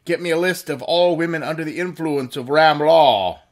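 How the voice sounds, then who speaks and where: A man's voice: on the high side, normal speed, close to an everyday voice. An actor playing a mysterious unseen male avenger in a 1930s-style radio drama, commanding and taunting.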